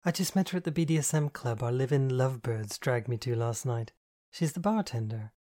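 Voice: medium pitch, expressive, brisk, dark tone, close to an everyday voice. A reader voicing a young woman who answers dryly and a bit grumpily while getting flustered, in an audiobook. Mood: wry. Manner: wry, self-conscious. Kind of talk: audiobook dialogue.

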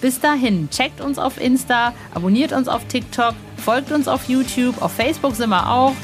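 A woman hosting a podcast in a casual, chummy, informal way, with a slightly husky voice. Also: high-pitched, moderate pace, faint music behind. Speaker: podcast host. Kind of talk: casual chat and podcast presenting.

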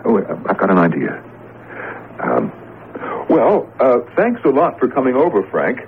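An actor playing an earnest, uneasy college professor in a 1970s radio drama, hesitant and then exclaiming. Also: male voice, medium pitch, huge pitch swings, a little slow, vocal fry, narrow, tinny audio, heavily acted. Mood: conspiratorial, nervous. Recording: noisy, band-limited 1970s radio broadcast recording.

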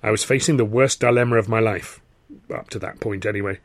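A man reading a first-person audiobook in a wry, expressive storytelling manner. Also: on the low side, a little fast, dry recording. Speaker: author reading own novel.

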